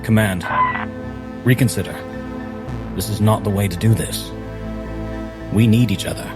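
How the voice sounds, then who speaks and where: Man, low voice, earnest, deliberate, formal wording, tabletop player in character, music in background.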